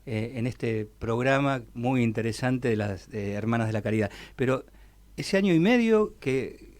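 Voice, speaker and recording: A man's voice: mid-range voice, expressive, moderate pace, a little mellow. A man hosting a radio interview, stammering and repeating words as he shapes his questions. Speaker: radio host.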